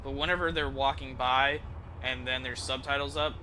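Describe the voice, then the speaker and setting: A man's voice: medium pitch, steady tone, normal speed, pushed and bright, slight background noise, low-quality recording. A man giving casual live commentary as a video game streamer, rambling informally and repeating himself. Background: game music and sounds.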